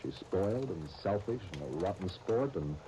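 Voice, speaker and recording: An actor playing a blunt, grudging young shop partner in a 1930s radio soap opera, wry and grumbling, with hesitant starts. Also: male voice, low voice, very animated, normal speed. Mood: irritated, disdainful. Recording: muffled, hissy 1939 radio broadcast recording.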